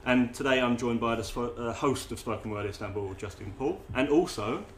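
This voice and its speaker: A man's voice: mid-range voice, brisk. A man hosting a podcast interview, chatty and swearing, often breaking off and restarting his sentences. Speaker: podcast host. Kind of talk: casual interview chat. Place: room with several poets nearby. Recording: echoey room, faint ambient noise.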